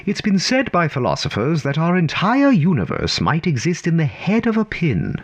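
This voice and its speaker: An actor as a whimsical storyteller narrating an audio drama in a dramatic, theatrical manner. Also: male voice, a little high, wildly swooping pitch, moderate pace, faint music behind. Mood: wry, wondering. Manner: dramatic, swooping from hushed to urgent.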